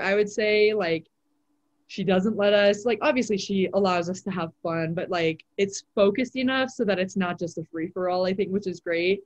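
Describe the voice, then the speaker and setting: A teenage girl, a high school volleyball player, answering interview questions in a casual way with lots of "like" fillers. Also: lo-fi sound. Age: teens. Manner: chatty, many "like" fillers.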